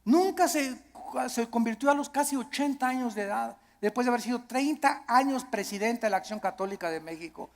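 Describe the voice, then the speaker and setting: A man's voice: very high voice, expressive, a little fast, hardly any pauses. A man with a Mexican accent delivering a sermon as a preacher, emphatic, with repeated phrases and rhetorical questions. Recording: long hall reverb.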